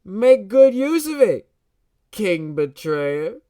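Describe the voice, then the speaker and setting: An actor playing a mocking royal guard in a fantasy audio drama, taunting and sneering. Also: male voice, sits high, big pitch swings, exaggerated voice. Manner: taunting, drawn-out delivery. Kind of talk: theatrical audio drama acting. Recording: loud background noise.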